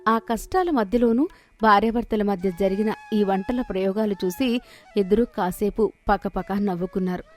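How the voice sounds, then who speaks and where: A woman's voice: mid-range voice, normal speed, darkish tone, faint music behind. A woman storyteller narrating a scripted moral story.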